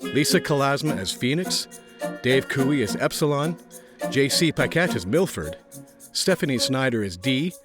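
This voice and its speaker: A male announcer delivers scripted show credits at a medium pitch. Music plays clearly behind his voice. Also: very animated, moderate pace.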